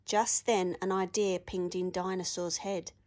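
A woman reading a children's picture book aloud with expressive, sing-song rhyming delivery. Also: lowish voice, unhurried.

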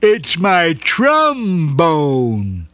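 A man doing a slow, earnest storybook dog. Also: high-pitched, lively intonation, no echo, pitched above the performer's own voice.

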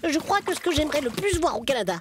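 A woman voicing an excitable boy teddy bear puppet with a Belgian French accent, talking in an enthusiastic, slangy way. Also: sits high, rapid-fire, a crowd in the background. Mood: excited. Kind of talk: children's puppet show. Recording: music behind the voice.

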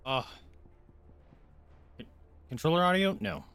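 A man's voice: a little high, animated, takes it slowly, fairly bright tone, clear, resonant tone, dry recording. A man livestreaming a game, chatting informally and wandering into asides for his viewers. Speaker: game streamer. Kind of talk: casual chat while livestreaming a game.

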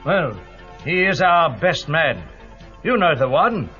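An earnest intelligence chief reporting formally to a superior. His voice is notably high. The sound is a muffled lo-fi tape with music behind. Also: big pitch swings, takes it slowly. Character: British spy chief briefing his superior. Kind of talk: 1980s storybook record acting.

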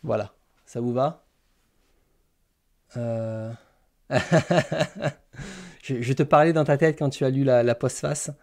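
A man's voice: mid-range voice, fairly even intonation, slow pace, pauses often, warm tone. A man hosting a casual live stream, hesitantly thinking aloud as he types. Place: small indoor room lined with bookshelves.

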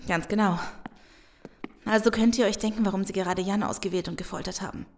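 A woman's voice: medium pitch, fairly even intonation, brisk, darkish tone, natural voice. A woman playing a despairing, blunt, emphatic survivor in a German zombie audio drama. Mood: distressed.

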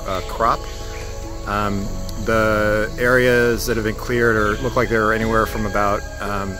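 A middle-aged man reporting to camera from a flooded rainforest, speaking matter-of-factly with many fillers, recorded on a handheld phone outdoors. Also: fairly low pitch. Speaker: conservation journalist. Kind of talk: on-location field report to camera. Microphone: handheld phone selfie framing.

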